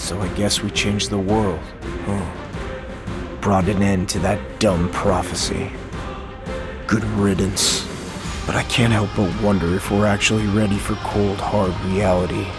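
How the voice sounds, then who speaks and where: A blunt, defiant fantasy game warrior, acted by a man, talks in a very deep voice. He is laconic and stoic, shrugging things off in plain words. Music is clearly heard behind him. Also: flat delivery, measured pace, close to an everyday voice. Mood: musing, resolute. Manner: laconic, nonchalant, plain-spoken. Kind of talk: scripted video game acting.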